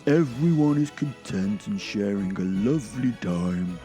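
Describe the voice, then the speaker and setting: A man voicing a big, jolly, sanctimonious old Santa Claus in an audio drama, with slow, grand, politely preachy delivery. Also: fairly low pitch, lively intonation, dark tone, faint music behind. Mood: cheerful preaching.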